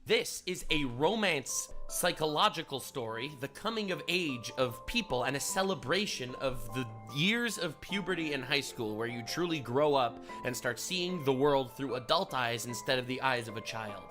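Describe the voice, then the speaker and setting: A man's voice: medium pitch, animated, normal speed, hardly any pauses, over music. A man giving earnest, explanatory narration for a scripted video essay.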